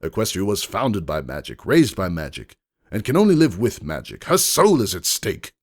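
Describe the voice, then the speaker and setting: Male reader as indignant aristocratic stallion, old guard, proud and growling, storybook drama, very animated pitch. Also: moderate pace. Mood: indignant, furious. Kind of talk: dramatic fan fiction reading.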